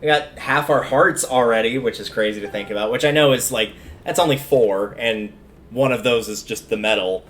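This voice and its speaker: A man's voice: mid-range voice, normal speed, fairly bright tone. A man livestreaming a video game, giving casual, rambling commentary as he thinks aloud.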